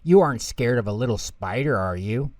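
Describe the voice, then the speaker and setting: A man's voice: mid-range voice, lively intonation, fairly quick, darkish tone, no room sound, lightly acted. A man voicing a brave, curious baby boy in a children's storybook, innocent and upbeat.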